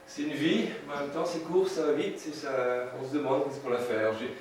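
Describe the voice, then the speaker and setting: A man's voice: on the high side, a little fast, a little mellow. A man in his fifties from France, presenting his photography at a seminar, with many fillers and restarts. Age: 50s. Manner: hesitant, many fillers and restarts, light chuckles. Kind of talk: seminar talk with slides. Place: seminar room with audience. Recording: echoey room, distant mic, noisy.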